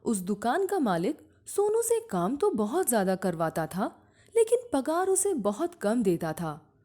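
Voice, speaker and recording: A woman narrating a scripted moral story in a deliberate, simple storytelling manner. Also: on the high side, huge pitch swings, mellow.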